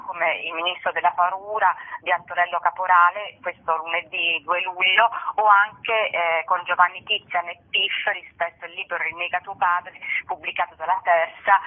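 A woman speaking as a guest in a radio interview, presenting a programme in a halting way as she searches for words, over the phone. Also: fairly low pitch. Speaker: festival organiser interviewed as guest. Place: phone call into a radio show. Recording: tinny narrow phone line.

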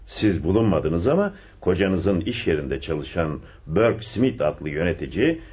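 A low, husky, courteous police inspector. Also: male voice, very animated, a little mellow, exaggerated voice. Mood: insistent. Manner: polite, measured, persistent. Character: courteous, probing, patient male police inspector. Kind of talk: radio theatre acting.

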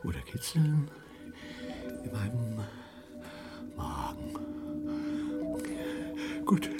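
A woman playing an innocent, bewildered newborn whale in a radio drama, curious and halting as it searches for words. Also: very deep voice, big pitch swings, loud music behind the voice, heavily acted. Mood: curious, searching.

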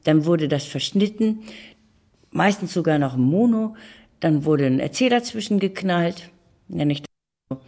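A woman's voice: lowish voice, expressive, normal speed, pauses often, darkish tone. An older woman, reminiscing conversationally as an interviewed producer, emphatic and repeating words for stress. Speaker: interviewee, audio drama producer.

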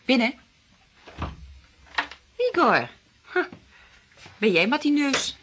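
A woman's voice: high-pitched, big pitch swings, slow pace, noisy background, full character voice. A woman playing a sardonic scheming spy. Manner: sardonic, teasing. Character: scheming female spy, mocking and cool. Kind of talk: radio play acting.

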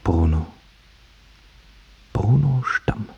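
An actor playing a confused, dying man with failing memory, husky and stubbornly repetitive, in a German radio drama. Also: fairly low pitch, animated, very slow, highs cut off, exaggerated voice. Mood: weak, muttering.

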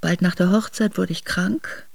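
An actress playing an aristocratic middle-aged Russian woman quietly recounting her memoirs to her son in a radio drama. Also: lowish voice, fairly even intonation, moderate pace, vocal fry, dry recording. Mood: reminiscent. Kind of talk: intimate radio drama narration.

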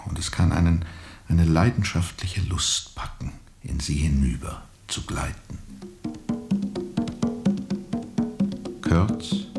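A brooding riverboat captain, a man haunted by what he remembers. His voice is extremely deep, dark and full of gravel. He delivers his radio drama monologue in a grave, measured way, often dropping close to a whisper. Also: soft background music, heavily acted. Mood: haunted, hushed. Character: brooding, haunted steamboat captain recalling a colonial river journey.

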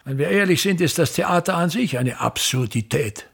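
Raspy, pompous theatre impresario. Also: male voice, fairly high pitch, expressive, exaggerated voice. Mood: bitter, ranting. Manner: declamatory, repetitive, querulous tirades with emphatic pauses. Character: pompous, embittered ageing male theatre impresario ranting at everything. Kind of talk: German-language radio play acting.

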